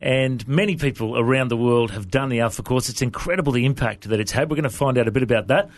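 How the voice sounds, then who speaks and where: A man hosting a television interview in a studio, upbeat and friendly and quick to laugh. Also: medium pitch. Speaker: TV host.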